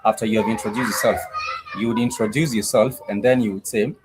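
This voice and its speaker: A man's voice: fairly low pitch, fairly even intonation, fast talker, over music. A man teaching a live online language class, patiently repeating each phrase several times.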